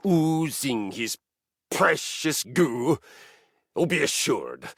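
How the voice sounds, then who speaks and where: An actor voicing a grandiose, zealous treadmill personified in a video game, telling sagas in a pompous, theatrical way with deadpan asides. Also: male voice, mid-range voice, gravelly, breathy edge, dry recording, clean studio sound, exaggerated voice. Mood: disgusted, grave. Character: zealous, grandiose treadmill deity, boastful lover of violence. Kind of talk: dramatic video game character monologue.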